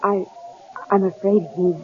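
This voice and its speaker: An actress playing a desperate, devoted wife, pleading and halting, in old-time radio drama style. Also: female voice, medium pitch, level tone, phone-quality sound, natural voice. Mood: shaken. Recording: thin, noisy old radio broadcast.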